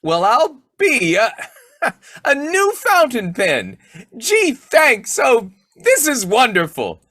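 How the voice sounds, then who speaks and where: A man playing a blustery, boyish, quick-tempered bumbling husband in old-time radio comedy, with a folksy, slangy, argumentative way of talking. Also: extremely high pitch, wildly swooping pitch, pushed and bright, no room sound, studio quality, heavily acted. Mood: delighted.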